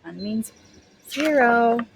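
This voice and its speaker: A woman's voice: on the high side, animated, slow, creaky, a little hiss or hum. A woman teacher reading a picture book aloud to young children, expressively, stretching words and putting questions to her listeners.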